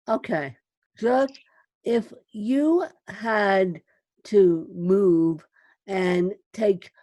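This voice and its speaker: A woman hosting an interview podcast, speaking deliberately as she puts questions to a guest. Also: medium pitch, mellow, dry recording. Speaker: podcast host.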